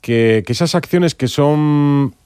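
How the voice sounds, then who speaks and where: A man, a sports reporter on a talk panel, speaking in a measured, explanatory way. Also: a little high, mellow, smooth, no echo, studio quality. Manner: measured, explanatory, repeats words for emphasis.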